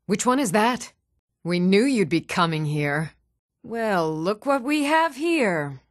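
An actress voicing an even-toned young Nordic townswoman and warrior in a fantasy video game, measured and clearly spoken. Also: medium pitch, no echo, clean studio sound. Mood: stern, threatening. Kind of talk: scripted video game dialogue.

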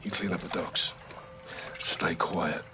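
A man's voice: deep voice, fairly even intonation, slow pace, over music. A middle-aged man with a British accent playing a terse, unflappable special forces captain in a game. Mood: quiet, focused. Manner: terse, clipped military talk. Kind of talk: cinematic game acting.

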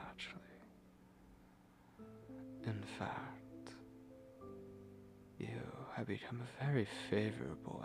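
A man playing a menacing, possessive, courtly vampire lord in an ASMR roleplay, speaking in a breathy, deliberate whisper with lingering pauses. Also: on the low side, exaggerated voice. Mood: hushed, craving. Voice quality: breathy whisper with gravelly creak.

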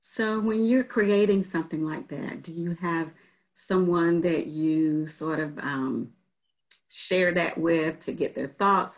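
Female interviewer, low and husky-edged, measured and inquisitive. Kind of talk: interview questions. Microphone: video call.

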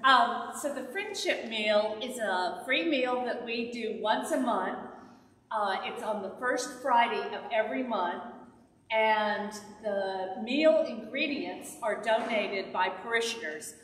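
An older woman, a church volunteer, explaining a community meal in a plain, loud voice, standing in a large sanctuary without a mic and picked up by a far camera. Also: fairly high pitch, takes it slowly, slight background noise. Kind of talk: announcement. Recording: echoey hall from far off.

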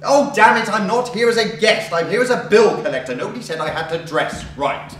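A man's voice: high-pitched, expressive, brisk, a bit bright. A man acting a boorish, hot-tempered bill collector who scorns women, in a blustering, theatrical stage comedy style. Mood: furious. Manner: blustering, ranting, mockingly theatrical. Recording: very echoey room, distant mic.

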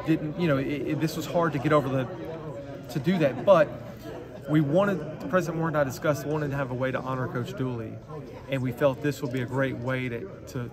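A man gives measured, businesslike answers to a ring of reporters. His voice sits at a middling pitch. The chatter of a busy ballroom crowd runs steadily behind him. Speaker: athletic director. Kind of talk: press scrum answers. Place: large carpeted hotel ballroom, indoors. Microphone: handheld phone camera up close.

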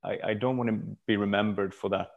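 Low-voiced man, reflective podcast guest. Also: steady tone, brisk, lo-fi sound. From Stockholm, Sweden. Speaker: podcast guest, brand executive. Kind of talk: casual interview talk.

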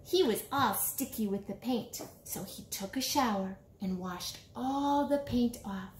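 A woman in her forties doing an animated storytime read-aloud for young children, expressive and sing-song, with drawn-out words. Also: fairly high pitch, a little hiss or hum. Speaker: children's author reading a picture book aloud. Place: small indoor room, seated before a bulletin board. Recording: roomy small-room echo.

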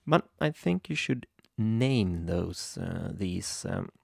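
A young man from Sweden with a Swedish accent, hesitantly walking through a software tutorial at his computer, with many ums and restarts. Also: deep voice, lively intonation, takes it slowly, gravelly, slightly rough, no echo, clean studio sound. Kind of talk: screen-recorded software tutorial. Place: home room at a computer.

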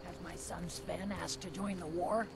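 A woman's voice: fairly low pitch, fairly even intonation, moderate pace, vocal fry, over a noisy crowd. A woman playing a worried old village mother in a fantasy game, anxious and scolding. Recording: game music over the voice.

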